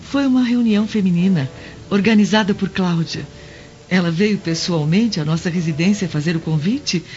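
Gentle Roman wife played by a woman, Brazilian. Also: fairly low pitch, normal speed, lightly acted. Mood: placating. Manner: polite, pleading diction. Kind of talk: dramatised Brazilian radio drama acting. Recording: dull lo-fi radio drama with music.

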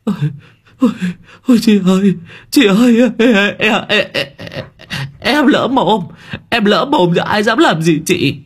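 A man's voice: high-pitched, animated, a little slow, slightly rough, pitched above the performer's own voice, full character voice. A man voicing a skinny, short young village man who whimpers and stammers. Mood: whimpering.

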